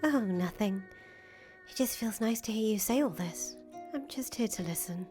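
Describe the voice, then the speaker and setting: A woman's voice: medium pitch, animated, normal speed, close to an everyday voice. A woman voicing a patient, loyal young woman in a visual novel, reassuring and casually sweary. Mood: tender, proud. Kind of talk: voiced reading of visual novel dialogue. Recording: music playing under the voice.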